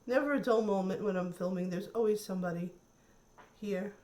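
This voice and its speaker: A woman's voice: mid-range voice, a little fast, darkish tone. A woman chatting casually through a makeup tutorial, thinking aloud and admiring the colors, her voice a little nasal from a cold. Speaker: beauty vlogger.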